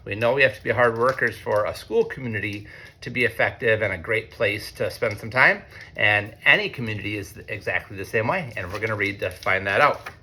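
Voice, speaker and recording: An American man, a teacher, reads a picture book aloud to children in an expressive way, with conversational asides. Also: on the low side. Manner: expressive, deliberate when reading, conversational in asides. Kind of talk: read-aloud storytelling with chatty asides to children.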